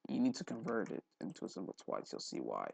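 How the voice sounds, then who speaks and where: Low-voiced, gravelly young man rambling through tutorial. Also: huge pitch swings, quick pace, a little mellow, dry recording. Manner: rambling, thinking aloud, frequent throat clearing. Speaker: tutorial host. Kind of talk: casual screen-recorded software tutorial. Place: indoors at a computer, voice-over for screen recording.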